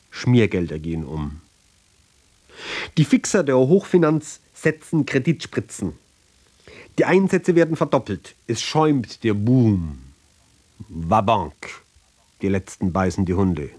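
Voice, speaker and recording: A man reads a literary text for radio at a very slow pace, in a mid-pitched voice. He sets out short, clipped phrases one by one in a declamatory way, with a pointed, sardonic edge. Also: a little mellow.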